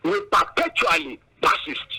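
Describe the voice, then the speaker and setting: A man's voice: measured pace, background noise. A Nigerian man giving political commentary as a guest analyst, emphatic and indignant, stretching out big words and firing off rhetorical questions.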